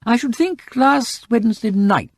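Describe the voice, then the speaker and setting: Man playing a lively, affable upper-class English gent. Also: very high-pitched, a little slow, no room sound, pitched above the performer's own voice, exaggerated voice. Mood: chatty, casual. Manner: polite, breezy, rambling, nervously chatty.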